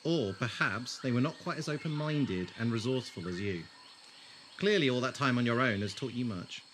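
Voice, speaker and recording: Man acting a haunted, bright-voiced ex-soldier. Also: medium pitch, expressive, normal speed, slight background noise, lightly acted. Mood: brisk. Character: haunted, grieving male former soldier, brisk leader of travellers. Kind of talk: audio drama acting.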